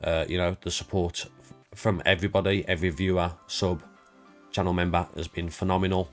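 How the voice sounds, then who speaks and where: A man with a low voice talks in a laid-back, rambling way, his voice audibly stuffed up and congested. He sounds easygoing and a little self-deprecating. Soft music plays faintly underneath. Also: level tone, brisk. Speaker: YouTube creator. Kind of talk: casual game collection walkthrough.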